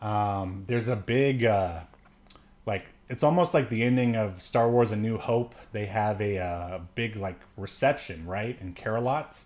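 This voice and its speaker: A man's voice: lowish voice, unhurried, darkish tone. A man co-hosting a podcast, recapping a film in casual, easygoing, joking chat. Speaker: podcast co-host.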